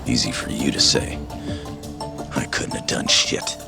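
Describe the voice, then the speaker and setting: Very deep, gravelly gangster. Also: male voice, fairly even intonation, a little slow, over music, exaggerated voice. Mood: defensive. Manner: gruff, resentful. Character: bitter, loyal low-voiced yakuza underling, man. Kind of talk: dramatic English game voice acting.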